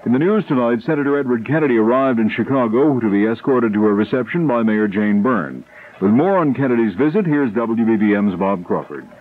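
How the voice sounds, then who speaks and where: A man's voice: mid-range voice, lively intonation, brisk. An American man reading scripted news and advertising copy as a radio anchor. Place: radio studio. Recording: narrow, tinny 1970s AM radio.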